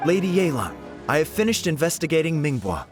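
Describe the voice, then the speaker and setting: An earnest young helper in a game, voice jumps around a lot, bit competitive, faint music. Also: male voice, on the high side, very animated, brisk. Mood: earnest reporting. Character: earnest, competitive young male assistant, easily irked. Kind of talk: video game character dialogue.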